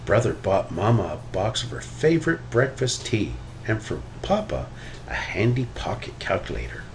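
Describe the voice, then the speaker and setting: A man reading a children's story aloud with expressive, animated delivery. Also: lowish voice, moderate pace, a little hiss or hum. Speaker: storybook reader.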